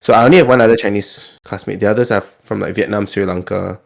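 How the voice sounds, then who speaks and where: A man's voice: on the low side, steady tone, fast, vocal fry. A Singaporean man chatting casually as a podcast host, talkative and often repeating his words as he goes.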